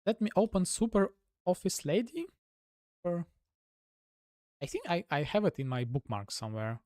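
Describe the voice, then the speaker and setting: Chatty Russian-accented man, lively pitch. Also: normal speed, no room sound, clean studio sound. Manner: rambling, heavy use of "like" fillers. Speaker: gaming streamer. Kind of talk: casual chat with viewers while gaming.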